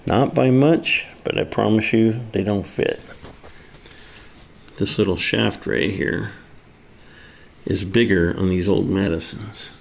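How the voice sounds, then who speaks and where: A man's voice: lowish voice, takes it slowly, creaky, long pauses. A man casually demonstrating a hands-on repair, muttering to himself as he works. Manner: muttering to himself while working. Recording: faint background noise.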